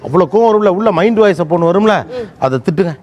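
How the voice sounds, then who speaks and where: High-pitched, fast-talking male talk show host. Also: animated, highs cut off, noisy background. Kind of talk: teasing talk show interviewing. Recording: music behind the voice. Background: background music.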